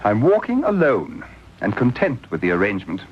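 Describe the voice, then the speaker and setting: An actor playing a cold, disdainful Victorian gentleman who recounts his crimes in a precise, haughty manner in a radio drama. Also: lowish voice, huge pitch swings, normal speed, slightly rough, exaggerated voice. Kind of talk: radio drama narration and acting. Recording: dull lo-fi old radio recording with loud hiss.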